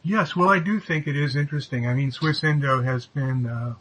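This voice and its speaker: A man hosting an online interview, opening formally and asking his guest measured questions. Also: medium pitch, a bit bright, low-quality recording. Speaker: interview host.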